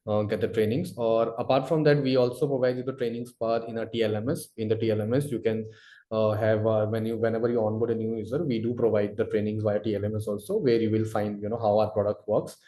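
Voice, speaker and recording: A man answering webinar questions as a product expert, explaining in a halting, wordy way full of verbal fillers. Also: on the low side, flat delivery, mellow. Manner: halting, wordy, repeats filler phrases "you know". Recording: roomy, echoey webinar audio.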